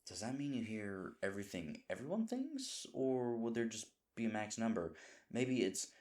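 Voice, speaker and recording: A man playing a sweet, dim himbo boyfriend in an audio roleplay, talking in a halting, rambling, flustered and earnest way. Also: fairly low pitch, very animated, darkish tone. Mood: tender, bewildered. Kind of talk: audio roleplay acting, intimate one-sided dialogue.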